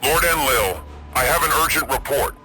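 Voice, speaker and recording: A man's voice: medium pitch, animated, moderate pace, over music, voice pushed up. A man voicing a dutiful military subordinate, deferential and slightly anxious, reporting through a radio comm filter. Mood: urgent. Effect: crackling radio comm filter.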